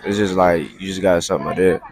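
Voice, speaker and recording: A man's voice: deep voice, fairly even intonation, quick pace, crowd noise. A teenage boy at school answering bluntly in a laid-back way. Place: school gym.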